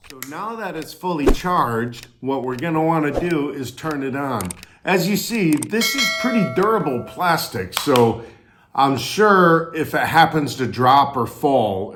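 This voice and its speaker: Slow-talking man in an echoey room. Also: medium pitch, background noise. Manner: simple step-by-step explaining. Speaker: parent demonstrating a toy for a family channel. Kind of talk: casual product review with a child. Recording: echoey room with loud music bed. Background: music.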